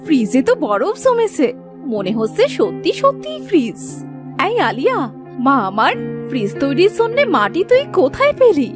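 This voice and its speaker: A woman voicing an affectionate, easily amazed village mother in a children's cartoon, expressive and exclaiming, with music behind. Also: sits high, normal speed.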